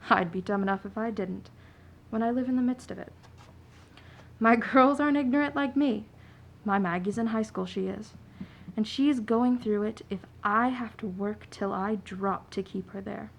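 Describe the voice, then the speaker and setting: A woman playing a poor, proud, plainspoken washerwoman and mother in a radio play, with a creaky voice. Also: mid-range voice, normal speed. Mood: proud, determined. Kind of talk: stage-style radio play acting.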